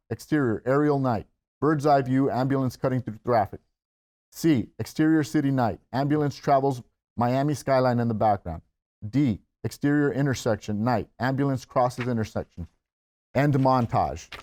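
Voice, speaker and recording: Male narrator for screenplay table read, medium pitch, reads scene directions. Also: darkish tone.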